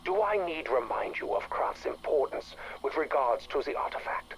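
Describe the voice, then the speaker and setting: An actor playing a cold, imperious spymaster director in a game audio log, giving orders in a clipped, formal manner. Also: male voice, medium pitch, sing-song, moderate pace, creaky, slight background noise, low-quality recording. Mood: cold, impatient. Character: cold, imperious male director of covert operations.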